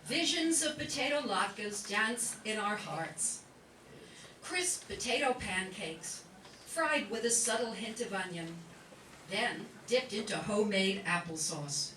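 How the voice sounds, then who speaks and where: A woman announcer reading scripted comic radio narration and ads in a deliberate, emphatic way. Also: mid-range voice, lively intonation, sharp tone. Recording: roomy, distant, faintly noisy recording.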